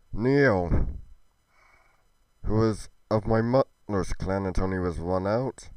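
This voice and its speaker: A man's voice: fairly low pitch, darkish tone, clear, resonant tone. A man voicing an earnest, chivalrous fantasy warrior with formal, archaic phrasing, in an amateur roleplay drama. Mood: wary. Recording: music under the voice.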